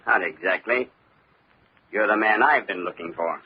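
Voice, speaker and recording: Low, menacing radio-drama killer. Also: male voice. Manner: taunting, deliberate. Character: cold, mocking male killer with a gun. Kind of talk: 1940s radio acting. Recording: muffled, noisy old radio recording.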